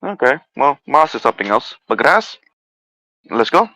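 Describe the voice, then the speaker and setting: A man's voice: medium pitch, big pitch swings, moderate pace, gravelly, pushed and bright, no room sound, poor audio. A man taking part in a tabletop role-playing game as a player, improvising casually in character.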